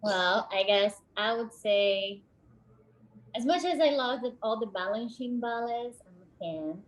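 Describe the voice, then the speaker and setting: A woman's voice: a little high, unhurried, rough recording. A young woman from Brazil, a professional ballet dancer, answering questions in a friendly way. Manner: friendly, laughs easily. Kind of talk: casual interview answers.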